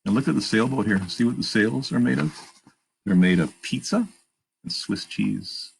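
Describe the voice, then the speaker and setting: A man's voice: fairly low pitch, animated, moderate pace, gravelly, a little hiss or hum, poor audio. A man reading a children's picture book aloud, expressively, with plain asides about the pictures. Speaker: storytime reader and narrator.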